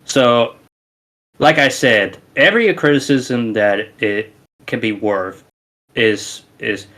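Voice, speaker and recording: A man's voice: medium pitch, takes it slowly, fairly bright tone. A man with a Romanian accent, a musician guest on a casual podcast, talking in an emphatic, rambling way with many restarts.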